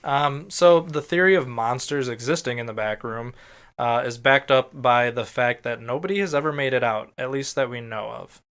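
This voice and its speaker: Man, smooth clear voice, podcast co-host; relaxed explainer delivery, casually profane. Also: mid-range voice, moderate pace. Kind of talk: explainer read from notes mixed with casual banter.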